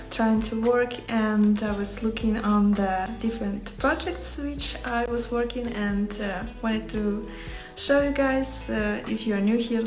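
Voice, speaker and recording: A woman's voice: fairly high pitch, echoey room. A woman from Ukraine with a Ukrainian accent, chatting casually and a little hesitantly to livestream viewers as she talks about her craft. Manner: hesitant, searching for words. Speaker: embroidery artist hosting a livestream. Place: small home workspace indoors.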